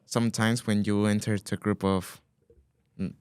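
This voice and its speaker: Twenty-something guy from colombia raised in spain, spanish first language, lowish smooth voice, kinda hesitant, dry mic. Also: flat delivery, moderate pace, darkish tone, no room sound. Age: 20s. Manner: hesitant, restarts when searching for words. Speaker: podcast guest. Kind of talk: casual interview.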